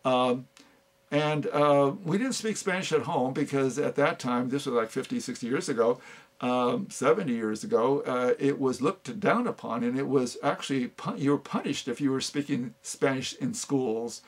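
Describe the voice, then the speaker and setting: An older man giving an unscripted talk on philosophy, halting often with fillers and restarts. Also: medium pitch. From United States. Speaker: speaker on philosophy.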